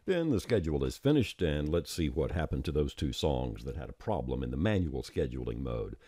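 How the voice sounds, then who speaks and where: Very deep-voiced man narrating a tutorial. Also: warm tone, no echo, clean studio sound. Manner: measured, step-by-step instructing. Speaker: software developer giving a tutorial. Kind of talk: explanatory software tutorial narration. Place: close-miked dry voice-over.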